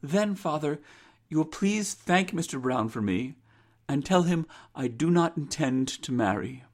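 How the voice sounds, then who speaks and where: A man's voice: fairly high pitch, lively intonation, measured pace, voice pushed up. A man voicing an earnest, devout young woman, a dutiful but resolute daughter with formal old-fashioned speech. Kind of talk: dramatized reading of an old sentimental tale.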